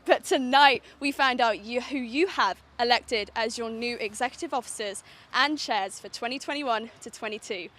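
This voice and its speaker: A woman's voice: a little high, normal speed, a bit bright. Upbeat woman presenting a results show. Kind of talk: scripted live broadcast presenting.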